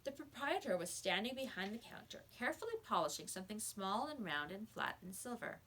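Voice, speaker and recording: Woman, children's read-aloud narration, expressive, animated pitch, bright forceful tone. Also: fairly quick, a little hiss or hum.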